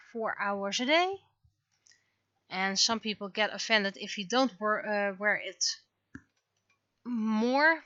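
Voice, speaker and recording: A woman livestreamer chatting casually and venting in an animated, exasperated way, acting out imagined exchanges as she goes. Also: fairly high pitch, no echo, muffled recording. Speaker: livestreamer, webcomic artist. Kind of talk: casual livestream chat, ranting.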